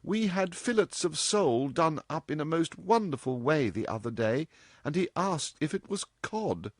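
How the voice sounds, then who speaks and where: A man's voice: on the high side, expressive, normal speed, no room sound, clean studio sound, pitched above the performer's own voice. A man reading aloud and voicing an impudent, teasing young woman who talks flippantly to her mother. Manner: playful, cheeky, flippant.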